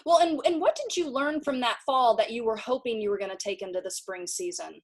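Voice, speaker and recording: A woman's voice: a little high, fairly quick. A woman hosting a casual interview, asking long, enthusiastic questions with a few "uh" fillers. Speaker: interviewer, video director.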